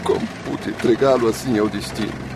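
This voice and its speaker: A man's voice: lowish voice, fairly even intonation, fairly quick, vocal fry, noisy background. An actor playing a broken, remorseful father pleading in a radio drama. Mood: anguished. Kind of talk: Brazilian radio drama acting.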